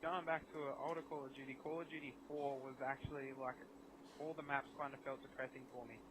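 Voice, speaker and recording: A man's voice: medium pitch, level tone, fast, a bit bright, poor audio. A young man in a casual gaming chat over an online voice call. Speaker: podcast guest. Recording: faint noise, online voice chat.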